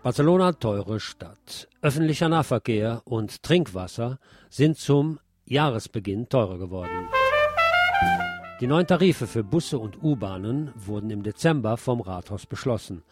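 A man presenting scripted radio news commentary, reading deliberately and emphatically with wry asides. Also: medium pitch, expressive, loud music behind the voice.

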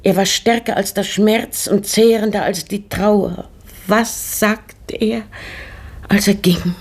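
An actress playing a grieving, brooding widowed lady of the house in a German radio play from the 1960s, halting and searching, often dropping into whispers. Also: mid-range voice, steady tone, husky. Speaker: Donna Francisca, mistress of the house.

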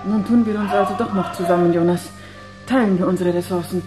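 A woman's voice: fairly low pitch, steady tone, quick pace, loud music behind the voice, lightly acted. A woman playing a shrewd, self-possessed adventuress in a German radio drama, speaking in a formal way. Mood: cool, shrewd.

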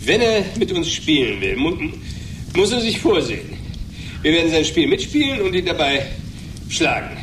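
High-voiced, excitable boozy man. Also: normal speed, recorded from a distance, a little hiss or hum, low-quality recording. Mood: swaggering. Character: excitable, boozy middle-aged man, flippant and swaggering. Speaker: actor in a radio play. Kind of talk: 1980s German radio drama acting.

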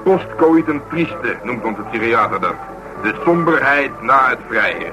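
An actor playing a burly, blunt, stubborn police detective in a radio play, talking gruffly and colloquially. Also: male voice, medium pitch, animated, a little slow, over music, close to an everyday voice, rough recording. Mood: insistent, earnest. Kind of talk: naturalistic radio play dialogue.

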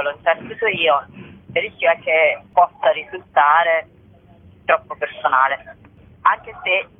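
A woman speaking as a guest in a radio interview, explaining her work in a reflective, halting way with frequent rephrasing. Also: sits low, husky. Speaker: playwright and actress, interview guest. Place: remote phone call to a radio show. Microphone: telephone. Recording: tinny, band-limited telephone line.

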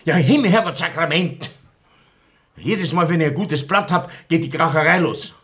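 An actor playing an indignant, pompous republican pub regular in a radio play, with declamatory phrasing. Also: male voice, on the high side, normal speed, fairly bright tone, close to an everyday voice. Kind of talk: stage-like radio play acting.

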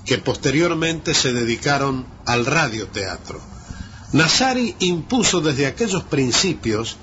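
A Uruguayan man presenting on the radio in a formal, measured and eloquent way, as if from a prepared script. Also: medium pitch, fairly bright tone. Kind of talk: scripted radio narration and interviewing. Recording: dull lo-fi radio recording with hiss.

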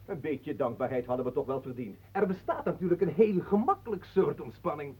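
High husky smarmy showman, radio play, tinny muffled old recording. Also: very animated, brisk. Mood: slighted, warning. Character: flattering, easily slighted showman and entertainer. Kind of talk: radio drama acting.

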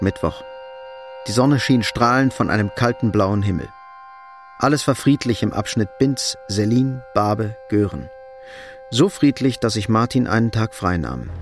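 A man reading scripted narration for a radio drama as a composed storyteller. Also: medium pitch.

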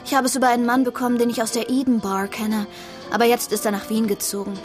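High-voiced flighty young actress played by a woman. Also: level tone, fast, close to an everyday voice. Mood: frank, casual. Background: music.

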